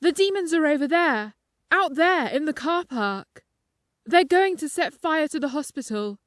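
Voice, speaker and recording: A woman voicing a terrified, paranoid man who urgently shouts and repeats his warnings in an audiobook story. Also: high-pitched, animated, unhurried, no echo, studio quality, voice pushed up. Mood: panicked, pleading.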